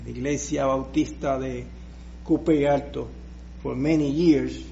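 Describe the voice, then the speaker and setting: Slow, hesitant Puerto Rican preacher. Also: male voice, mid-range voice, steady tone, creaky, mellow, lo-fi sound, slight background noise. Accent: Puerto Rican Spanish. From Puerto Rico. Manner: slow, hesitant, with many restarts. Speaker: guest preacher. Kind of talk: personal testimony in church.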